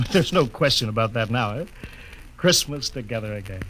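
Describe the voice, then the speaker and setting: An actor playing a homesick rocket captain in a 1950s radio drama, eager and nostalgic. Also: male voice, mid-range voice, expressive, moderate pace, a bit bright, a little hiss or hum, lightly acted, rough recording.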